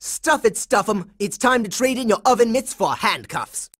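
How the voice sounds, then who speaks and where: A man's voice: high-pitched, sing-song, normal speed, fairly bright tone, full character voice. A man voicing a bossy, heroic boy secret agent in a cartoon video game, dramatic and emphatic with a smug confidence. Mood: defiant, commanding.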